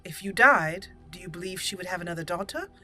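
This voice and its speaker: A woman hosting gameplay and improvising in character as an elf mage, speaking in thoughtful, halting, slightly formal phrases. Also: lowish voice, expressive, bright, forceful tone, faint music behind. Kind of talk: improvised in-character roleplay commentary.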